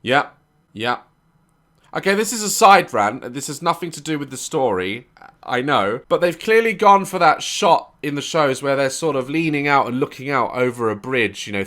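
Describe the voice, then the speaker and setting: A man with a hoarse, sore throat hosting a reaction video, joking and swearing as he comments. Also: mid-range voice, pushed and bright. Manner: jokey, irreverent, swearing.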